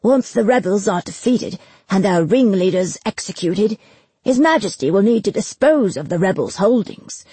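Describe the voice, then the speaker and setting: A woman's voice: medium pitch, lively intonation, normal speed, warm tone, breathy edge, no echo. A woman voicing a pompous, scheming male bailiff who blusters in formal courtly phrases, as in an audiobook. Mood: pompous, ingratiating.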